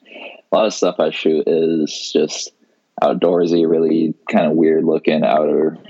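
Very deep, flat-voiced young man. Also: unhurried, breathy edge, highs cut off. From Seattle, Washington, US. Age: 20s. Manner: laid-back, many fillers and restarts. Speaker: podcast guest, photographer. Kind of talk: casual interview chat.

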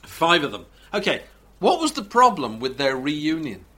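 Bright high-voiced wry male host. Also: huge pitch swings, moderate pace. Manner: wry, jokey presenter. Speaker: podcast host. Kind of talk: casual chat.

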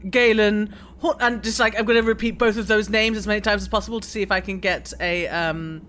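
A woman improvising as a player in a tabletop role-playing game, halting and stammering as she goes. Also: medium pitch, fairly even intonation, a bit bright.

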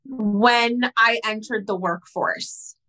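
Bright, frank Spanish-native woman. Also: on the high side, slow, soft background music, rough recording. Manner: frank, animated, swears casually. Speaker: guest entrepreneur. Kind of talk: candid storytelling interview.

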